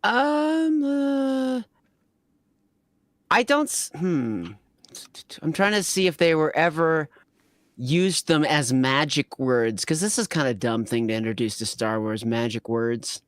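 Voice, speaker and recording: A man with a slightly high voice talks casually as a panelist, picking at details with skepticism. His sound is close and dry. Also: animated, slow, fairly bright tone, no echo. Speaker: panelist on a fan fiction reading show. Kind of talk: casual joking discussion.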